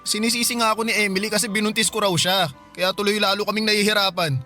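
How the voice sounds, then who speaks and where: A man's voice: high-pitched, level tone, fast, pushed and bright, faint music behind. An actor playing a broke young man who pleads and defends himself to his older sister. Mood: pleading. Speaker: younger brother.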